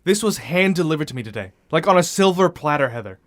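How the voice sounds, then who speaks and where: A man's voice: on the high side, animated, fast, natural voice. An actor playing a brash, insistent male newspaper reporter who swears freely in an audio drama.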